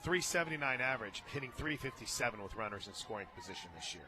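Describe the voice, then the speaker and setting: An American man doing live radio play-by-play of softball, conversational, with wry asides between calls. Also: mid-range voice, expressive, fast. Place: radio booth at a ballpark. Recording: noisy outdoor ballpark broadcast.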